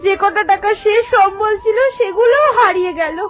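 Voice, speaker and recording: A woman's voice: very high voice, moderate pace, a bit bright, soft background music. A woman voicing a greedy, headstrong young wife in a cartoon fairy tale, in a theatrical, complaining, emphatic manner. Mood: panicked.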